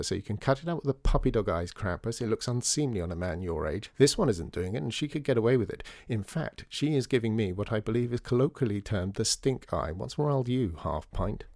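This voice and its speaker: A man voicing a sharp-tongued female newspaper columnist who talks in quick, cutting, fussy phrases. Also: medium pitch, dry recording, clean studio sound, pitched above the performer's own voice, lightly acted.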